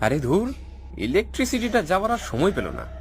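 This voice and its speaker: Man acting an animated, uneasy young city lad. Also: high voice, normal speed, faint music behind, heavily acted. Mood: puzzled, annoyed. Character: uneasy young city boy visiting his village. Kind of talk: cartoon voice acting.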